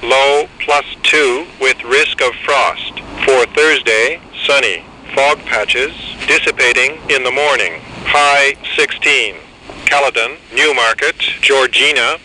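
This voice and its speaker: Bright, forceful automated male weather announcer. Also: medium pitch. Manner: clipped, segmented phrasing. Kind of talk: automated scripted weather forecast reading. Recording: noisy weather radio receiver.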